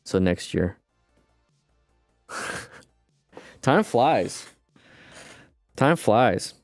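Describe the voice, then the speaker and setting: A man's voice: fairly low pitch, very slow, no room sound, faint music behind. A man in his late thirties hosting a casual livestream, chatting loosely with viewers and answering their comments. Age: 30s. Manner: rambling, relaxed, talks to chat as "guys". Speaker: livestream host.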